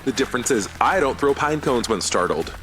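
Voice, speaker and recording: A male actor plays an earnest young runner, the nerdy loyal friend in a horror audio drama. His mid-range voice is informal and moves around a lot in pitch, over prominent music. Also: animated, brisk, fairly bright tone, natural voice. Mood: playful.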